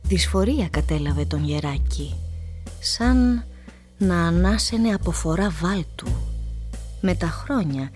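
A woman narrator reading scripted literary prose for a radio play in a measured, storytelling manner. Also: lowish voice, animated, a little mellow, faint music behind.

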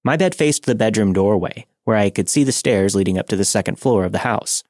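A man's voice: lowish voice, brisk, darkish tone, no echo, studio quality. A man narrating a scripted first-person horror story for a podcast.

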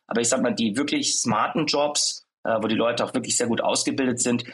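A man's voice: mid-range voice, a little fast, a bit bright, slight background noise. A man being interviewed about his company, speaking with many hesitations and fillers. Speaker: startup founder guest.

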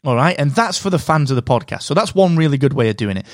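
A man hosting a solo podcast, giving direct, energetic advice while sounding slightly nasal from a cold. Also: on the high side, fast, no room sound, clean studio sound. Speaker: podcast host.

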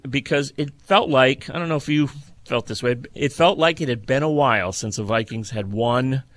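A middle-aged man hosting a football podcast, talking through sports analysis in a measured, detailed, conversational way. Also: medium pitch, bright, forceful tone, no echo.

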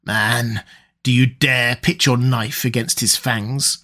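A man's voice: mid-range voice, steady tone, takes it slowly, sharp tone. A man voicing a scheming middle-aged priest who is gripped by fear, with a raised, theatrical, urgent delivery. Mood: desperate.

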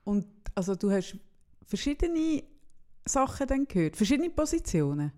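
A young adult woman with a Swiss German accent, co-hosting a podcast in blunt, animated casual chat. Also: a little high, moderate pace. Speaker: podcast co-host.

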